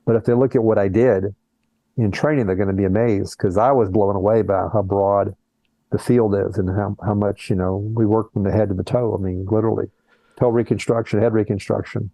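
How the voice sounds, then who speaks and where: A man's voice: fairly low pitch, fairly even intonation, brisk, warm tone, dry recording, low-quality recording. An older man who is a plastic surgeon, talking earnestly in a conversational interview over a video call and often restarting his words. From born in Colombia, raised in Brazil and the US.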